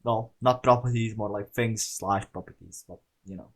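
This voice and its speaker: A man's voice: on the low side, normal speed. A man giving casual, informal video commentary in a slangy, sweary way, as a creator talking through an analysis.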